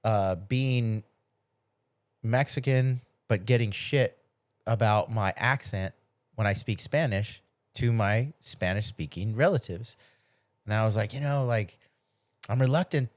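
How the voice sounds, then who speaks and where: A man's voice: lowish voice, unhurried, pauses often, no echo. A middle-aged man in a casual podcast chat, telling personal stories with acted-out quotes and loose, salty language. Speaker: podcast host.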